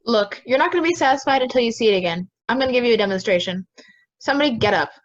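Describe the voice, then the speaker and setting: Impatient, dismissive juror, played by a woman, fairly high voice, dull home-recorded audio drama. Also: expressive, rapid-fire, lightly acted. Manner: curt, dismissive. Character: stubborn, impatient juror sure of guilt. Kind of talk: amateur audio drama acting.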